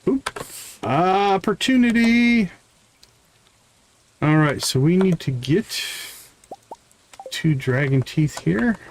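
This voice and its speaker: A man's voice: high voice, lively intonation, slow pace, long pauses, a little hiss or hum, rough recording. A man giving casual live commentary on a game he is playing, chatting to the characters as he goes. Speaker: game streamer. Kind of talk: live gameplay commentary, reading game dialogue aloud.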